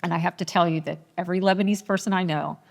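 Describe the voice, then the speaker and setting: A woman's voice: lowish voice, steady tone, racing, vocal fry. A woman hosting a podcast interview, asking her questions in a conversational way with long, looping lead-ins. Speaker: podcast host. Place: large room in a private car collection. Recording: long hall reverb.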